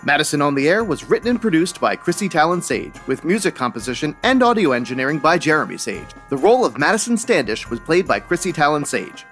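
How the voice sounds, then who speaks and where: A man's voice: on the high side, a bit bright. A man reading scripted show credits as an announcer.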